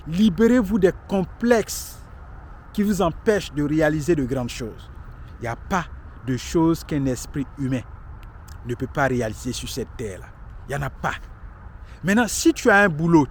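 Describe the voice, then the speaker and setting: A man's voice: fairly high pitch, slight background noise. A man in his late thirties giving emphatic, repetitive motivational life advice outdoors in a city street. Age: 30s. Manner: emphatic, preachy, repeats himself for stress. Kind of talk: motivational talk to camera.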